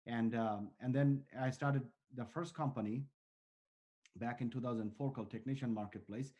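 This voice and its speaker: Male founder, forties, from Bangladesh; relaxed dark timbre, earnest conversational answers. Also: medium pitch, normal speed, lo-fi sound. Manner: earnest, talks in long strings of restarts and fillers. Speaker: startup founder, interview guest.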